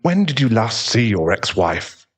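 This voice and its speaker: A man playing a calm, tactful therapist in an audio roleplay, speaking in a measured, formal way. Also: fairly low pitch. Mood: tactful, probing.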